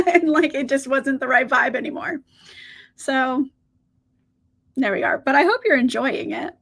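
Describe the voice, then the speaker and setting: Woman with a high, slightly scratchy voice, chatty livestream host answering her viewers. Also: animated, measured pace, vocal fry, pauses often, a bit bright. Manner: chatty, upbeat, laughs often. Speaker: book channel host. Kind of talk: casual livestream chat, reading out viewer comments.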